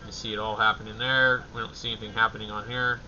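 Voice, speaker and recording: A man hosting a casual livestream walkthrough, thinking aloud in halting, fragmented short phrases. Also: on the low side, flat delivery, bright, forceful tone, muffled recording, a little hiss or hum. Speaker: livestream host.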